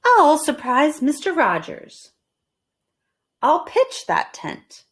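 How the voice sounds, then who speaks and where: A woman's voice: high voice, huge pitch swings, takes it slowly, a bit bright, pitched above the performer's own voice, heavily acted. A woman voicing a cheerful, eager, literal-minded housekeeper from a children's storybook, exclaiming earnestly. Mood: determined.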